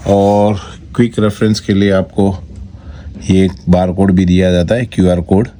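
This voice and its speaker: A man with an Indian accent giving a casual, step-by-step product walkthrough in short, halting phrases. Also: low voice, flat delivery, warm tone. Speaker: product reviewer and vlogger. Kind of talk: casual unboxing walkthrough, Hindi mixed with English. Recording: noticeable background noise.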